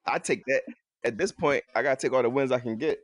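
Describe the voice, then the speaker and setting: A man's voice: mid-range voice, moderate pace, no room sound. A man from North Philadelphia with a Philadelphia accent, co-hosting a podcast in casual, slangy, self-deprecating chat. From North Philadelphia, United States. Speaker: podcast co-host.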